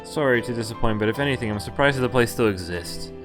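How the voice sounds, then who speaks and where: A man's voice: mid-range voice, quick pace. A man streaming a visual novel, reading the dialogue aloud and commenting casually in a dry, sardonic way. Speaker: game streamer. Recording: game music clearly behind voice. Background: game music.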